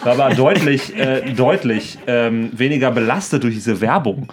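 A man's voice: medium pitch, normal speed, slightly rough. A man bantering casually as a podcast co-host, slangy, brash and joking.

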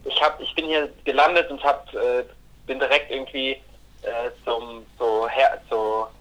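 A man's voice: mid-range voice, fairly even intonation, measured pace, a bit bright. A man chatting casually and effusively as a podcast co-host calling in over the phone. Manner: effusive, sentimental. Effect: phone call. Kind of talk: casual chat. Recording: phone call into the show.

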